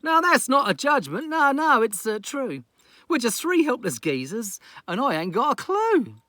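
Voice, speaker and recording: Male storyteller as lazy pirate captain, storybook, loud, theatrical, blustering, raised pitch, swooping intonation. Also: wildly swooping pitch, normal speed, no room sound, studio quality, full character voice. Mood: helpless, exasperated.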